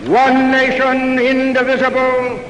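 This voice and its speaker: A man with an American accent gives a solemn ceremonial recitation, declaimed in measured short phrases on a vintage newsreel recording. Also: extremely high pitch, monotone, a bit bright. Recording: echoey hall, faintly noisy vintage newsreel.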